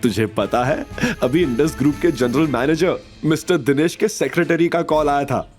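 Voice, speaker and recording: A man's voice: a little high, normal speed, soft background music, pitched above the performer's own voice, close to an everyday voice. A man voicing a scheming middle-aged mother in an audio drama, gleeful and teasing.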